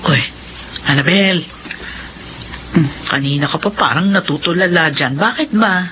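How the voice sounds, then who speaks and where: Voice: medium pitch, measured pace, close to an everyday voice. An actor playing a blunt, inquisitive middle-aged friend in a Filipino radio drama. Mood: blunt, nosy. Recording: muffled radio drama with faint noise.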